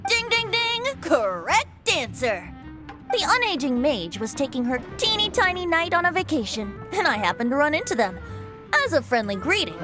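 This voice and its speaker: A boyish young bard from a video game, breezy and teasing. The voice is high and theatrical, lilting up and down over background music. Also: female voice. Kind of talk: video game character acting.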